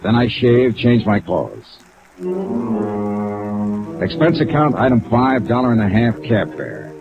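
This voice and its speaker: A hard-boiled insurance investigator from 1950s radio. His delivery is terse and deadpan, yet the pitch moves a lot. The old recording is muffled, with music clearly playing behind him. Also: slow pace. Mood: matter-of-fact narration. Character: tough, laconic male insurance investigator narrating his case. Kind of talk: 1950s radio detective drama, first-person narration.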